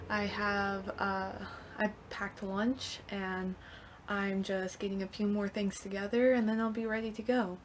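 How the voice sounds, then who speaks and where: A young woman chatting casually and upbeat to her viewers, recorded on a camera in a small room at home. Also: medium pitch. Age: young adult. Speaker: vlogger. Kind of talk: casual vlog chat to viewers. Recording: noticeable background noise.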